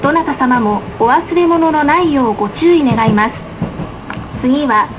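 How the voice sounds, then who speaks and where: A woman's voice: high-pitched, animated, moderate pace, lo-fi sound. A woman reading scripted tram announcements in a polite, carefully enunciated manner. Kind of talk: scripted recorded onboard announcement. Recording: noisy field recording of tram speaker. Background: tram running noise.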